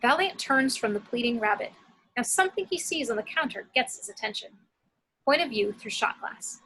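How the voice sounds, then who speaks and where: A woman narrates screenplay action from a script. Her voice sits fairly high, with a sharp, forceful edge and some rasp. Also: expressive, normal speed, rough recording. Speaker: narrator reading action descriptions.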